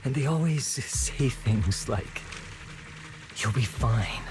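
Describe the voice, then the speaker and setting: An earnest, trusting outcast from a video game. The voice sits at a middling pitch and has a wondering, slightly hesitant way of talking, with music clearly underneath. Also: male voice, animated, takes it slowly. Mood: wounded, resigned. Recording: music behind the voice.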